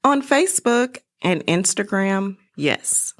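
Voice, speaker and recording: A middle-aged woman chatting casually as an interview guest, telling her story in an animated way. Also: medium pitch, slow pace.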